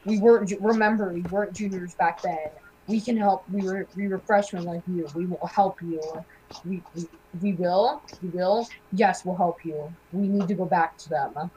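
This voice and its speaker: A woman acting student characters in an amateur game roleplay, halting and repeating phrases. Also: lowish voice, fairly even intonation, dark tone, a little hiss or hum, low-quality recording.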